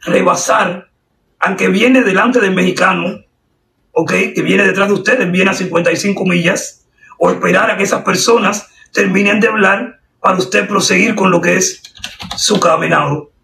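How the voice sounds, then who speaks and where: A woman with a Cuban accent making a prank phone call, posing as an examiner in a deadpan, official-sounding manner. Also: mid-range voice, normal speed, vocal fry. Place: indoors at a desk. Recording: roomy, distant sound with faint noise.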